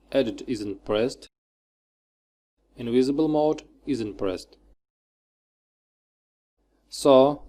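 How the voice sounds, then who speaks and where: A man narrating a scripted software tutorial, giving short, clipped step-by-step instructions. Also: medium pitch.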